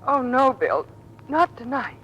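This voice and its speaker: A woman's voice: high voice, big pitch swings, measured pace, fairly bright tone, full character voice. An actress playing a distraught, brave young woman in an old-time radio drama. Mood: anxious, fighting tears. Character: distraught, brave young sister of an injured airman. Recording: faintly noisy old radio recording.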